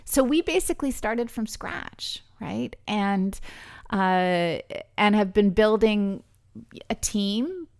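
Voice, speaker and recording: A woman's voice: mid-range voice, expressive, slow, darkish tone. A woman answers at length in a podcast conversation. Her tone is earnest and reflective as she thinks aloud about her choices, in a mid-range voice. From British Columbia, Canada. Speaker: political candidate guest.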